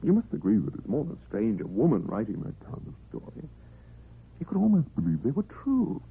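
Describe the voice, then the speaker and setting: A thoughtful, earnest doctor, very husky with a creaky edge. His pitch moves in a lively way through radio drama dialogue. The sound is narrow and muffled, like old radio. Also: a little fast, heavily acted. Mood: earnest, intrigued. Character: earnest, thoughtful male doctor, a married man.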